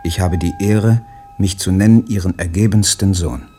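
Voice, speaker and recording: An actor playing a withdrawn, submissive poet son reading out stilted, deferential letters in a radio play. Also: male voice, low voice, moderate pace, dark tone, slight background noise, natural voice. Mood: stiff, submissive.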